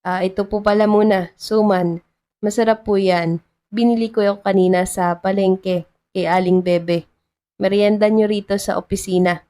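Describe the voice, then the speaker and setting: A kind male mayor from a romance storybook, voiced by a woman narrator. He speaks politely and earnestly, in a mid-range pitch. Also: steady tone, normal speed, mellow, lightly acted. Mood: friendly.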